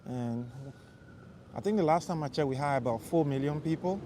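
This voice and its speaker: A man's voice: on the high side, moderate pace. A young man from Liberia, casually chatting with viewers of his live stream while walking city streets at night, rambling and answering their questions. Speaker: livestream host. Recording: faint street and traffic noise. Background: faint traffic noise.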